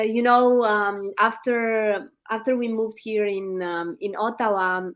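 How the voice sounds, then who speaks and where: A woman in her thirties from Romania talks as a guest in a live online interview. She speaks earnestly, at a middle pitch, and her sentences often stop on ums and restarts.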